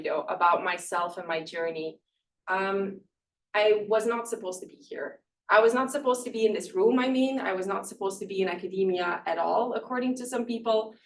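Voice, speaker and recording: A woman from northern Belgium, Dutch her first language, delivers a public lecture in an easygoing, conversational way, dotted with fillers and quick laughs at herself. Her pitch sits in an ordinary middle range. The recording picks her up from some distance in a lecture room, with a faint hum of ambience underneath. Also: a bit bright. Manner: conversational, self-deprecating, many fillers and small laughs. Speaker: professor giving award lecture.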